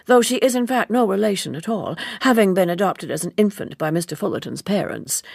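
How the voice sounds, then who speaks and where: A woman's voice: on the low side, vocal fry, darkish tone, breathy edge, dry recording, studio quality, full character voice. A woman voicing an earnest, opinionated young American woman in an audiobook novel, prim and gossipy. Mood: confiding.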